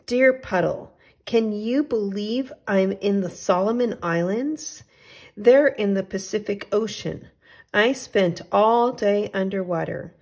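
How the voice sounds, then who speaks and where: A woman reading as an adventurous, affectionate male storybook traveler, with exclamatory phrasing and drawn-out sign-offs. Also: medium pitch, a little mellow. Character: adventurous, affectionate storybook traveler writing postcards to his friend.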